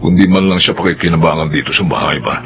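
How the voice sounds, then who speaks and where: Sharp-voiced, domineering Filipino stepfather. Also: low-pitched, steady tone, fast, dull audio, a little hiss or hum. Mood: scornful, defiant. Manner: bossy, emphatic. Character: domineering, stingy stepfather bossing his household. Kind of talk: Filipino radio drama acting.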